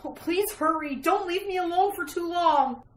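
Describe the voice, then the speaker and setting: A woman playing a frightened, tormented woman in a retro radio thriller, speaking haltingly and dramatically. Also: very high voice. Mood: panicked. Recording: echoey room with faint hiss.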